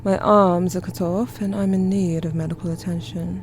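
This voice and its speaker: A woman's voice: on the low side, steady tone, moderate pace, slight background noise, lightly acted. A woman playing a guarded, envious Victorian writer in an audio drama, speaking in a clipped, evasive way. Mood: terse, defensive. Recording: loud music under the voice.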